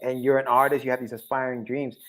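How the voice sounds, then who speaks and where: A man's voice: mid-range voice, little pitch movement, fast, a bit bright. A man hosting a podcast interview, chummy and casual, who often repeats his phrases. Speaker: podcast host.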